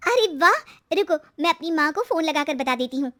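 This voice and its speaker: A young cartoon housewife, acted out by a woman, speaks in a high voice. She is chatty and excitable, animated in delivery and quick to grumble at her family. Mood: excited. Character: Shweta, chatty, excitable young married daughter-in-law.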